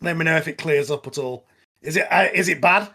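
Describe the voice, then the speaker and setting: A man's voice: a little high, a little fast, vocal fry, pushed and bright. A man hosting an informal film commentary, explaining things casually with a hesitant, chatty delivery. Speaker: host and writer of an audio drama.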